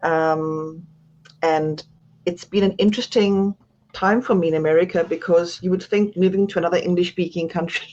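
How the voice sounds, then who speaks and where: A woman's voice: lowish voice, fairly even intonation, normal speed, a little mellow, lo-fi sound. A woman with a South African accent, speaking as an author guest on a radio interview, chatty and self-deprecating, laughing often.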